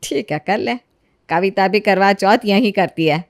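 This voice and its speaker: A woman's voice: mid-range voice, measured pace, natural voice. A woman voicing a kindly middle-aged mother-in-law, patient and soothing, in an acted storybook tale. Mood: gently doubtful. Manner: indulgent, soothing. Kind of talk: acted Hindi storybook narration.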